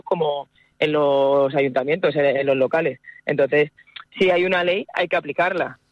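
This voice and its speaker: A woman's voice: low voice, dry recording. A woman in her forties, born and raised in Madrid, speaks as a spokesperson in a radio interview. Her talk is earnest and full of fillers and restarts. The sound is dry and dull, like a lo-fi line. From Madrid, Spain. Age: 40s. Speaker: activist spokesperson.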